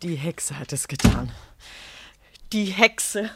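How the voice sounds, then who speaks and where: A woman's voice: on the low side, lively intonation, takes it slowly, noisy background. An actress with speech playing a bitter, jealous young petrol station woman in a radio play, agitated and theatrical. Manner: agitated, theatrical, swinging from muttering to sharp. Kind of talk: German radio play acting.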